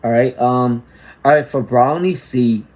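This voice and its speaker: A man doing a live tarot reading at a middling pitch. He talks to his viewers directly, greets people from the chat, and keeps checking in with "all right?" and "okay?" as he goes. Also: a little slow, a little mellow. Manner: reads out viewer comments and greets chatters. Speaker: tarot reader.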